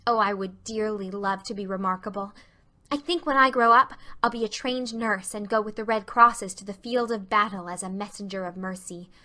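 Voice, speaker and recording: A woman's voice: medium pitch, moderate pace, pushed and bright, close to an everyday voice. A woman voicing an earnest, romantic, effusive schoolgirl in a dramatized audiobook, with gushing, dramatic delivery.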